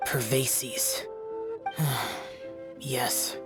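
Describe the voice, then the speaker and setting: A man's voice: medium pitch, steady tone, extremely slow, over loud music. An actor voicing a stern young male demon-slaying guardian, curt and instructive. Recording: game soundtrack behind.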